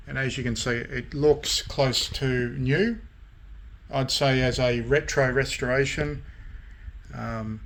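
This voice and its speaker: A man presenting his hobby restorations in a casual show-and-tell, speaking haltingly with frequent pauses between short phrases. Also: mid-range voice, fairly bright tone. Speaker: radio restoration hobbyist and vlogger.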